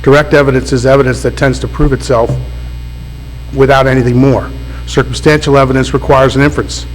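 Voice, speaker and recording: Defense lawyer guy with a cold, formal and deliberate, echoey courtroom, slight hum. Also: medium pitch, fairly even intonation. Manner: formal, deliberate, with some restarts under questioning. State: has a cold. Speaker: defense attorney. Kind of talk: appellate oral argument. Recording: roomy courtroom with faint mains hum.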